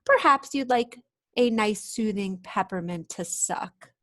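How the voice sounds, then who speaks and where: A woman's voice: mid-range voice, lively intonation, unhurried, fairly bright tone, no echo, studio quality. A woman voicing a kind, helpful little girl in a storybook, speaking sympathetically. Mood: sympathetic. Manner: sympathetic, offering help in rhyme.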